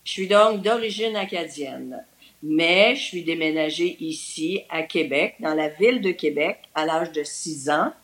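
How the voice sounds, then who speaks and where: A woman's voice: deep voice, unhurried, fairly bright tone. Older Québécois woman, cheerful guest. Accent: Québécois French. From New Brunswick, Canada. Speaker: interview guest. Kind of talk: casual remote interview.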